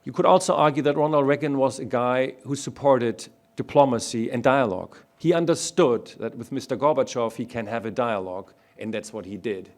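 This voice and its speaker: A man from Germany, speaking as a panelist in a public discussion and making his points one by one in a measured way. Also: mid-range voice.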